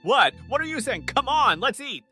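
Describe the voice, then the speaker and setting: An actor playing an earnest, easily flustered young student butler in an anime-style romantic comedy dub, polite and devoted. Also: male voice, very high voice, very animated, normal speed, pushed and bright, soft background music, full character voice.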